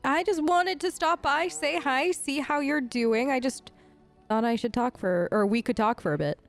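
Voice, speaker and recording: A woman hosting a let's play, improvising in character in a casual, halting, supportive conversational way. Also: high voice, soft background music.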